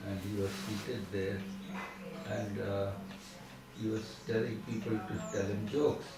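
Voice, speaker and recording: A man, slowly telling an old story with restarts and small coughs. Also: fairly low pitch, little pitch movement, gravelly. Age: 70s. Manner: slow and halting, with restarts and coughs. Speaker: storyteller recalling his past. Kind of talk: casual reminiscing. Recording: echoey room, distant mic, loud background noise.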